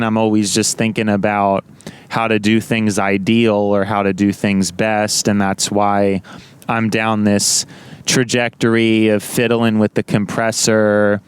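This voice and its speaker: Loud rambling young man, very clear. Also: fairly low pitch. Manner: rambling, self-correcting, excitable. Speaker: vlogger. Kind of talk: casual rambling monologue.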